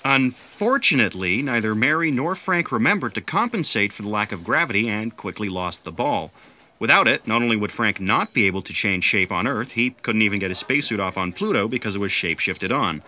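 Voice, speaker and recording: Man acting a low, forceful sci-fi storyteller. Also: animated, fairly quick. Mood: recounting. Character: matter-of-fact male storyteller recounting an alien's backstory. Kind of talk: comic sci-fi audio drama narration.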